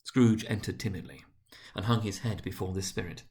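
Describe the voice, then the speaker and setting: A man reading a story aloud as narrator, in a dramatic literary style with theatrical, deliberate phrasing. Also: on the low side. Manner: theatrical, deliberate phrasing with emphatic swells and hushed drops.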